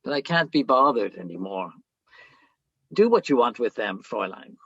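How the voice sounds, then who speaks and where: An actor playing an older, self-absorbed philosophy professor in a radio play, lecturing pompously and haltingly. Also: male voice, medium pitch, big pitch swings, fairly bright tone, husky, no echo, highs cut off, exaggerated voice. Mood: indifferent.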